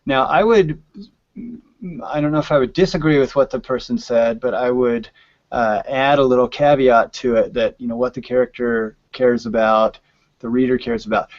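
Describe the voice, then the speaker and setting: A man's voice: medium pitch, normal speed, no echo, lo-fi sound. A man speaking as a podcast guest, explaining writing craft conversationally with hypothetical examples and frequent check-ins.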